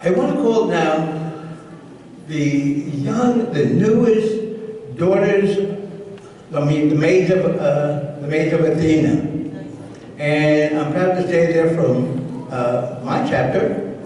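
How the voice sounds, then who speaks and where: Slow-speaking older man in a reverberant hall. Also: a little high, mellow. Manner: slow, halting, heartfelt. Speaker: chapter member introducing speakers. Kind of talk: slow, emotional convention remarks. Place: large convention hall. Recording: echoey hall, distant mic.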